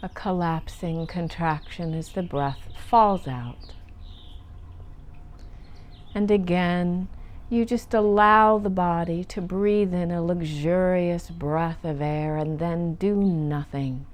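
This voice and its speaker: Very slow, low-voiced woman guiding meditation. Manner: gentle, soothing, lingering on phrases. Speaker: meditation teacher. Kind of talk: guided meditation instruction.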